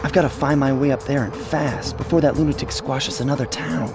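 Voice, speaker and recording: A man playing a cool, cocky young raccoon master thief in a cartoon video game, with a smooth, wry, confident delivery. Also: medium pitch, fairly even intonation, brisk, natural voice. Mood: determined. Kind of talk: video game cartoon voice acting, hero narration. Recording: loud music behind the voice.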